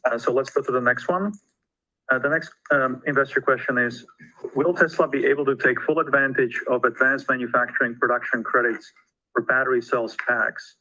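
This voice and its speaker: A man's voice: mid-range voice, steady tone, moderate pace, pushed and bright. A man moderating a corporate call, reading out investor questions in a businesslike way. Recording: dull, radio-like conference call audio.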